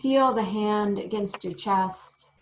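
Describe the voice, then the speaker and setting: A woman teaching yoga meditation, giving gentle instructions in short phrases with frequent pauses. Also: medium pitch, poor audio. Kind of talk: guided meditation.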